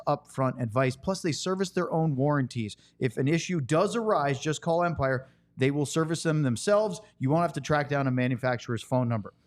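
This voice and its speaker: A man with a slightly high voice hosts a sports podcast. He delivers in a presenter's style, moving between talk and scripted sponsor reads.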